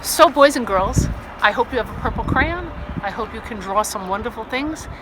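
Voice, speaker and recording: Animated storyteller reading a picture book to children. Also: mid-range voice, normal speed. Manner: addresses young listeners directly with questions and asides; expressive storytelling delivery. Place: outdoors on a stone wall by grass. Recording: outdoor recording with faint ambient noise.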